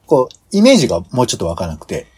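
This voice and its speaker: Casting: male co-host, relaxed mellow voice with a husky edge, casual and inquisitive. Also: mid-range voice, rapid-fire. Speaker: podcast co-host giving reactions. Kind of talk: casual chat.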